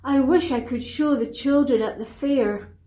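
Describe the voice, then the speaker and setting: Woman voicing an excitable, high-pitched storybook unicorn. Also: a little slow, reverberant. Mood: wistful awe. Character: eager, wonder-struck young unicorn who longs to fly.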